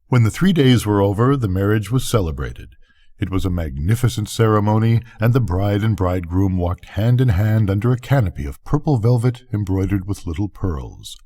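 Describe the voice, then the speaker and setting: An older man narrates a children's story in a low register. His tone is very mellow and gentle, and his pitch moves about in a lively way as he reads. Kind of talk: scripted bedtime story narration.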